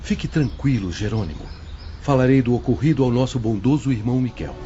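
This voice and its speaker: An actor playing a kind, patient spirit counselor who speaks in a gentle, reassuring way. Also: male voice, medium pitch, fairly quick, music in the background, natural voice. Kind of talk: audio drama acting. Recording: dull lo-fi audio with faint noise.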